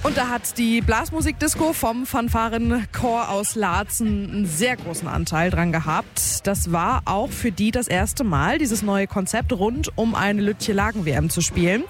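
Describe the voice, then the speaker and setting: A slightly hoarse woman reporting for radio on an event she hosted, lively and questioning. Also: medium pitch, a little slow, few pauses. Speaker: radio presenter and event host. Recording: music in the background.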